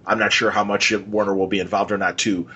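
A man's voice: on the low side, little pitch movement, brisk, bright, forceful tone, lo-fi sound. Casual male podcast host, faint background noise. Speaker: podcast interviewer. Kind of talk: casual conversation about comics.

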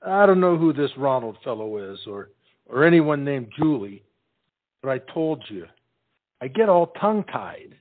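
An actor playing a bashful, slow-witted young soldier in love, halting and earnest, in a stage comedy. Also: male voice, medium pitch, very animated, phone-quality sound, close to an everyday voice. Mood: puzzled.

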